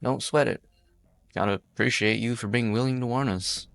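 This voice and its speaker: A man's voice: medium pitch, moderate pace, vocal fry, fairly bright tone, no echo. A man voicing a desperate pioneer leader in an amateur fan dub, blunt and folksy. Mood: weary. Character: desperate male pioneer leader, rough and plainspoken.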